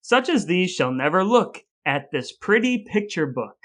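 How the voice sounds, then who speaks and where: Storybook narrator for grim cautionary rhymes, played by a man: higher-pitched, mock-solemn, measured and emphatic. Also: big pitch swings, fairly bright tone, exaggerated voice. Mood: stern. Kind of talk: dramatic verse reading.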